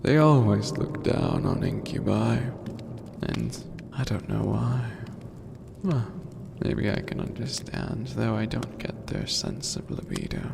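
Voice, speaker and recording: A man voicing an ancient skeletal demon overlord in an ASMR roleplay, calm, regal and formal, with a gravelly, breathy voice that often sinks to a whisper. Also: fairly low pitch, heavily acted. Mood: reminiscing, amused. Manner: slow, deliberate, lofty and formal. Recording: long cavernous reverb, noisy ambient bed.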